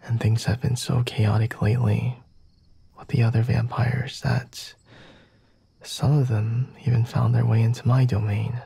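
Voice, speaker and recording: Casting: male actor as coy, aristocratic vampire master for ASMR roleplay. Husky half-whisper, gravelly fry, unhurried and formal. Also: mid-range voice, level tone, mellow, dry recording, exaggerated voice. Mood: smooth, teasing. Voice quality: breathy, husky, often whispering. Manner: formal, teasing, measured and deliberate. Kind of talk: ASMR roleplay, addressing the listener.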